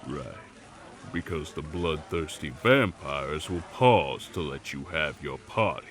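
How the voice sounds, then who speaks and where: A man playing a gruff, confrontational werewolf pack leader in an audio roleplay, scornful, deliberate and sarcastic. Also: rumbling low, lively intonation, a bit bright, full character voice. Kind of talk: audio roleplay drama acting.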